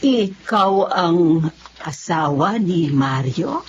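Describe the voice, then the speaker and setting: Casting: actress as grateful older aunt, sentimental drama, slow delivery, slightly muffled recording. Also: female voice, lowish voice, sing-song, exaggerated voice. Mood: tender. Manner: slow, affectionate, emotional. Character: tender, grateful older aunt reunited with family. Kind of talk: sentimental Filipino audio drama acting. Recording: muffled audio with faint background noise.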